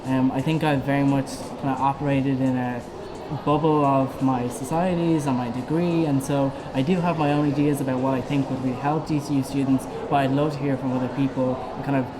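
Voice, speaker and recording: A man's voice: mid-range voice, steady tone, a little fast, background noise. A young man in his twenties with a Dublin Irish accent, answering questions earnestly for a student election campaign video, with frequent ums. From Ireland. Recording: music playing under the voice. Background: background music.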